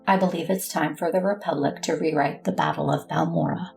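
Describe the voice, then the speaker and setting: A low, gravelly voice of a brooding warrior woman sits over clear music. She narrates a space audio drama gravely, with inward resolve. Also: fairly even intonation, fairly quick, darkish tone. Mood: resolute. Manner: grave, introspective. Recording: music under the voice.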